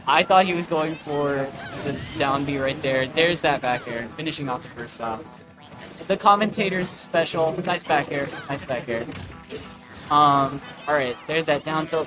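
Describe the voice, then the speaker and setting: A man doing casual live play-by-play as an esports commentator, slangy and excitable. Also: on the high side. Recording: game music behind the voice.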